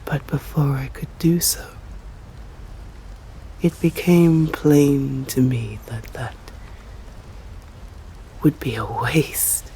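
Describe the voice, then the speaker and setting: A woman with an English accent playing a stern, courteous female vampire in an ASMR roleplay, speaking formally and deliberately in a breathy voice that often drops to a whisper. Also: very deep voice, fairly even intonation, dark tone, slight background noise, full character voice, low-quality recording. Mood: composed, intrigued. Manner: slow, deliberate, formal, menacing.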